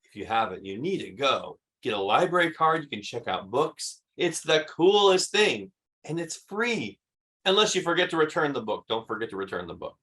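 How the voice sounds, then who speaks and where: A man reading a children's story aloud expressively, with emphatic delivery and dramatic pauses. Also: mid-range voice, sing-song, bright, forceful tone. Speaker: read-aloud host.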